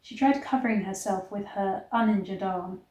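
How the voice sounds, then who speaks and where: A woman reading a story aloud in a measured, theatrical storybook style. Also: medium pitch, noisy background. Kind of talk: dramatic storybook reading with disgusted asides. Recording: echoey, roomy sound.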